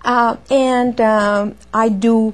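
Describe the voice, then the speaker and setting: A woman with a Greek accent, giving explanatory answers as a guest lecturer, often halting and filling with ums. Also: a little high, steady tone. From Greece. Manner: hesitant, halting phrasing with many ums.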